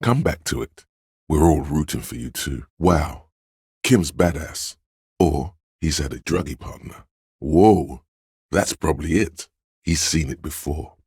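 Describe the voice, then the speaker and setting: A man voicing a coaxing, insinuating inner voice of a detective's mind in a video game, giving deliberate advice in a gravelly, husky tone. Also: rumbling low, animated, a little mellow, dry recording, clean studio sound, heavily acted. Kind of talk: scripted game narration.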